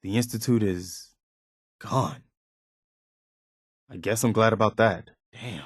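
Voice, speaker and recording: A weary but principled militia soldier from a post-apocalyptic video game. His voice is on the low side and recorded dry and close. He talks sincerely and plainly, like a loyal companion on the road. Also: slow, husky, dry recording. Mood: indignant. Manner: sincere, plain-spoken, conversational.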